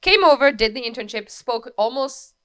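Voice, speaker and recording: A woman in her thirties from France with a French accent, telling her story as a podcast guest in a lively way with quick asides. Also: on the high side, clear, resonant tone. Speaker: guest, wedding coordinator. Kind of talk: casual interview storytelling.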